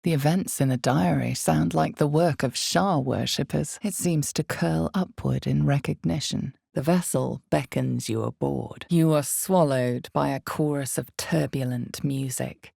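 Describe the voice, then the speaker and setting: A very low-pitched female narrator of a dark fantasy game, with a heavily gravelled, creaking voice and a slow pace. She is solemn and brooding, drawing out the suspense. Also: dry recording, studio quality, full character voice. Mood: ominous, brooding. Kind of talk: scripted dramatic second-person fantasy game narration.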